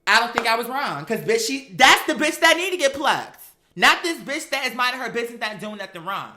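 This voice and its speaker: A woman's voice: on the high side, very animated, a little fast, background noise. A woman chatting casually and telling gossipy stories in a sassy, profane, emphatic and dramatic manner. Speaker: online talk show host. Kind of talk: casual gossip commentary, storytelling.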